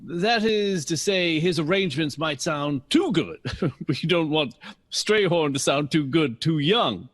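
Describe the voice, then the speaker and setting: A man's voice: a little high, lively intonation, a little slow, a bit bright. A man acting in an audio drama as a genial, teasing veteran jazz bandleader interviewed on radio. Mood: breezy, self-assured.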